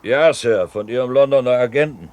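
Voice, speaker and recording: Man playing a hoarse old sea captain. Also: medium pitch, a little fast, darkish tone, lightly acted. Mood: businesslike, hearty. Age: older. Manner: bawling, emphatic, salty storytelling. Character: grizzled, blustering grey-haired old merchant sea captain. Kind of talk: 1970s German radio drama acting.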